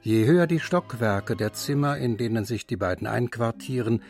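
A man's voice: medium pitch, animated, moderate pace, darkish tone, faint music behind. A man narrating a radio feature in a composed manner. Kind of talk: scripted narration.

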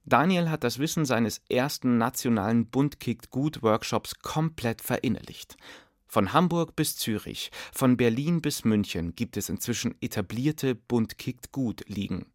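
A man narrating a radio documentary in a measured, clear storytelling manner. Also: medium pitch, lively intonation, no room sound, clean studio sound. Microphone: close studio mic.

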